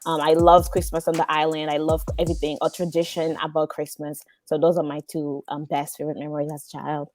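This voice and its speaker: A woman's voice: low voice, little pitch movement, quick pace, few pauses, darkish tone, soft background music. A young woman from Haiti, a polite podcast guest talking about her life with many ums. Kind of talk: casual interview.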